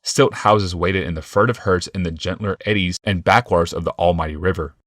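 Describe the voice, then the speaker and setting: Deep-voiced male audiobook narrator. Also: a little fast, fairly bright tone, no echo, studio quality. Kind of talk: scripted fantasy audiobook narration.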